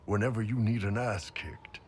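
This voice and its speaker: An actor playing a big, gruff horned mercenary warrior, blunt and wry, in a video game cutscene. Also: male voice, on the low side, fairly even intonation, moderate pace, a little hiss or hum, close to an everyday voice. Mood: sincere. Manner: blunt, wry, swearing soldier.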